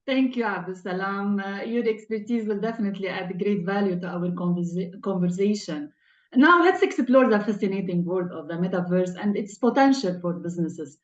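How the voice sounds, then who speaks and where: A woman's voice: mid-range voice, lively intonation, moderate pace, echoey room, muffled recording. A woman hosting an interview in a formal, scripted presenter style. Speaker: host.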